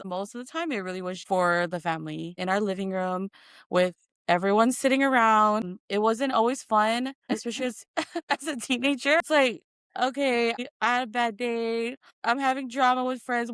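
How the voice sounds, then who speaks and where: Smooth-voiced woman telling personal stories. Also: medium pitch, fairly bright tone, no room sound. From Hawaii and American Samoa. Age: 30s. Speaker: interview guest, content creator and performer. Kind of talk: casual personal storytelling in an interview.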